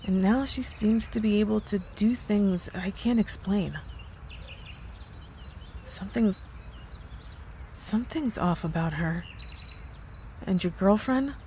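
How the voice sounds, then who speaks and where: A woman's voice: mid-range voice, dark tone, slight background noise, close to an everyday voice. A woman playing a concerned coworker in an ASMR roleplay, speaking earnestly, hesitantly and with care. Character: worried, caring married female coworker, hesitant and earnest. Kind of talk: ASMR roleplay audio drama.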